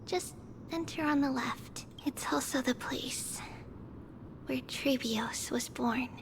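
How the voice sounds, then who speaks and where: An actress playing a sweet, reflective girl in a video game, telling of the past in a gentle, wistful way. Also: sits high, slow, in a whisper, poor audio. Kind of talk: scripted video game dialogue. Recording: music behind the voice.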